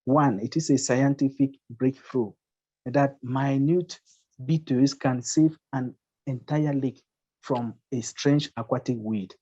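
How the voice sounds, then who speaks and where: A man's voice: mid-range voice, takes it slowly, mellow. A man from Cameroon, a journalist, explaining his reporting fluently on a webinar over a video call. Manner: fluent, explanatory, repeats phrases. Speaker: award-winning journalist. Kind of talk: webinar panel answer. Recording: dull lo-fi call audio.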